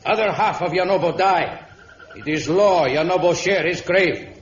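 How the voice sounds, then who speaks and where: Man playing angry jungle chief in an old radio serial, solemn broken english, high voice, echoey dull sound. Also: moderate pace, slight background noise, lightly acted. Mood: stern, solemn. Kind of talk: old-time radio adventure acting.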